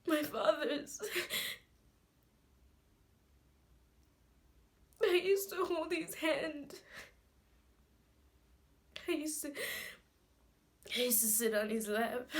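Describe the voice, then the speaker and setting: A woman performing a dramatic monologue as a guarded, hot-headed grieving daughter, talking colloquially and haltingly, with repeated phrases. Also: lowish voice, fairly even intonation, gravelly. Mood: tearful, anguished.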